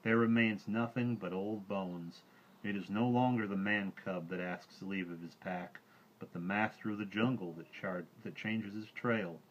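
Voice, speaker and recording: A man's voice: on the low side, measured pace, highs cut off. A man voicing a wise old male bear teacher, speaking with grave, formal old-fashioned gravity.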